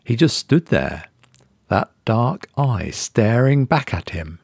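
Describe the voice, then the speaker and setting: A man reading a horror short story as narrator, in a measured, suspenseful dramatic style. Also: medium pitch, big pitch swings, gravelly, darkish tone, slightly rough, dry recording, clean studio sound.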